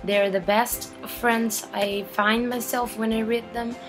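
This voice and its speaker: A woman's voice: on the high side, level tone, takes it slowly, music in the background. A twenty-two-year-old woman from Venezuela speaking sincerely to camera. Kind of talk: personal testimonial to camera.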